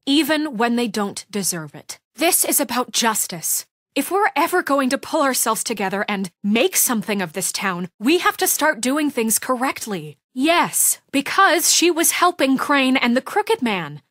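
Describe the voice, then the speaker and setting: A woman's voice: sits high, very animated, a bit bright, no echo, clean studio sound, heavily acted. A woman voice acting an earnest, stern female town official in a fantasy video game, speaking formally and articulately, with emphasis. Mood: earnest, reassuring, then defensive. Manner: formal, measured, articulate, emphatic when challenged. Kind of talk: dramatic video game dialogue.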